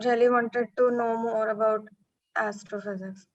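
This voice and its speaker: A woman's voice: fairly high pitch, steady tone, measured pace, muffled recording, slight background noise. A young woman from Delhi, a student introducing herself shyly. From Delhi, India. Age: young adult.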